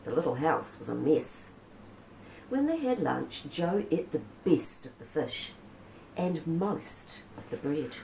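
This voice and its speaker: A woman reading a picture book aloud in a gentle storytelling manner, saying Maori words naturally. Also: low-pitched, sing-song, takes it slowly, creaky. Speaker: children's book reader. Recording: roomy with faint background hiss.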